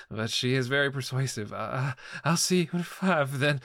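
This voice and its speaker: A man playing a cocky, domineering Southern US man in an intimate adult audio roleplay, with a husky, panting delivery. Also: medium pitch, a bit bright, no room sound, studio quality, heavily acted. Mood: flustered, breathless. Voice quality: husky, breathy. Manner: panting, murmuring, broken by grunts.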